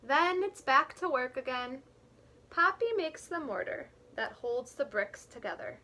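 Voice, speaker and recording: Bright-voiced woman teacher reading to children, unhurried. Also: high-pitched. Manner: expressive, deliberate reading with simple explanations for children. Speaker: teacher reading a picture book to young children. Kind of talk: read-aloud storytelling with explanatory asides.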